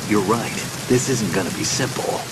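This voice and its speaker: A man's voice: on the low side, moderate pace, close to an everyday voice. A man playing a middle-aged, cool-headed covert soldier in a video game, terse and clipped. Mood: guarded, businesslike. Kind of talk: video game cutscene voice acting. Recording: heavy rain noise over voice.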